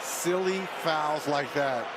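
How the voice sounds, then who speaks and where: Fairly high-voiced male basketball analyst. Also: slow, a loud crowd, heavy background noise. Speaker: color analyst.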